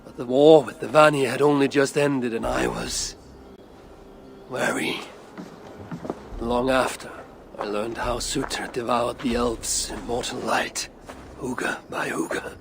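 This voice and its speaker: A man playing a grave, brooding older Norse god in a video game cutscene, speaking in a measured, sometimes hushed way. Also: mid-range voice, fairly even intonation, gravelly, background noise. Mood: grave, reflective.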